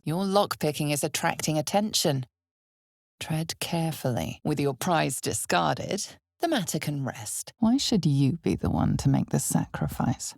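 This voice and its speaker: A woman playing an ominous, knowing narrator of a dark fantasy video game, speaking in a measured, dramatic, hushed way. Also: low-pitched, vocal fry, slightly rough, no room sound, clean studio sound, full character voice.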